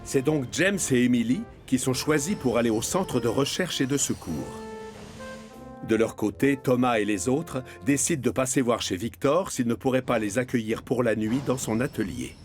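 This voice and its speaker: A man narrates a children's cartoon tale in a mid-pitched voice. He reads as a storyteller, with music clearly playing behind him. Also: moderate pace.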